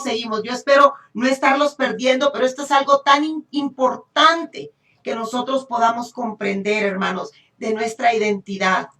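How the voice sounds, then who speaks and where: Slow, high-voiced Honduran woman preaching. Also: fairly bright tone. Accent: Honduran Spanish. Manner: emphatic, repeats phrases, checks in with rhetorical questions. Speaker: preacher teaching a congregation. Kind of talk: sermon, Bible teaching. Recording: echoey room, distant microphone.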